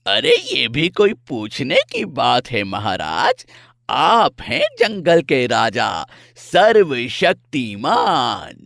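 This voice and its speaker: A man voicing a timid, flattering deer in an animal story, with a fawning, drawn-out, servile way of talking. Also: medium pitch, creaky, no room sound, studio quality, heavily acted. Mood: fawning, nervous. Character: timid flattering deer pleading with the lion king.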